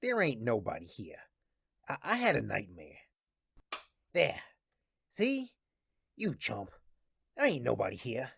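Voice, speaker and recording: A woman voicing a frightened, guilty male killer in a radio drama, stammering in rough colloquial speech. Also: a bass voice, wildly swooping pitch, slow, long pauses, no room sound, clean studio sound, exaggerated voice. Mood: panicky, defensive.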